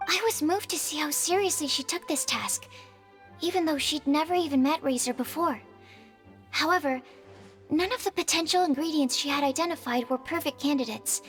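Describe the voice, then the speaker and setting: A woman voices a shy young alchemist for a video game, with a high-pitched voice. She is modest and earnest, choosing precise, bookish words. Soft music plays behind her. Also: lightly acted. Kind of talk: video game character dialogue. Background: faint music.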